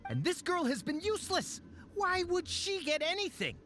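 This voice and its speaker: A man's voice: extremely high pitch, sing-song, pushed and bright, soft background music, exaggerated voice. A man voicing a greedy, arrogant game character who argues indignantly. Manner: blustering, indignant, quick to argue. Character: greedy, arrogant foreign expedition sponsor. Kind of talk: video game voice acting.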